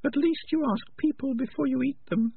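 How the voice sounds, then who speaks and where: A man voicing a stern young female rat in a storybook, in a high falsetto with a cool, pointed manner, recorded with a phone-like narrow sound. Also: moderate pace, creaky, husky, no echo, full character voice.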